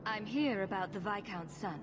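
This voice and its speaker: A woman's voice: medium pitch, normal speed, close to an everyday voice. Lady doing a dry sarcastic rogue, noisy game audio. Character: sarcastic female rogue hero, young adult.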